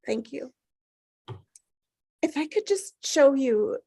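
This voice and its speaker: High-voiced, hesitant grieving mother played by a woman. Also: lively intonation, mellow, full character voice. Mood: upset, pleading. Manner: hesitant, halting. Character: grieving, anxious mother, gently insistent and apologetic. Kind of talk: table read of a drama script.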